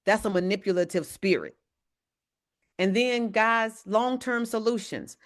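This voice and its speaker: A woman, an evangelist teaching a church leadership lesson in a loud voice. She speaks to the audience directly and checks in with them often. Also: medium pitch, a little slow. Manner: says she has a very loud voice; talks to the audience directly, often asking "right?".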